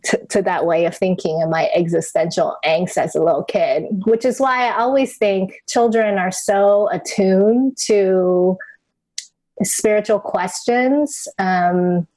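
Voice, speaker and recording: A middle-aged woman from Taiwan, whose first language is Chinese, speaking as an interview guest in a reflective, searching way with many pauses and restarts. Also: medium pitch, darkish tone. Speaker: guest, author and podcaster. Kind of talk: conversational interview.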